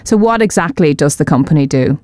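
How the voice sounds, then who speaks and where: A woman's voice: low voice, animated, fairly quick, a little mellow, dry recording, studio quality. A woman hosting an interview, asking direct questions that prompt her guest's story. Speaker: interview host.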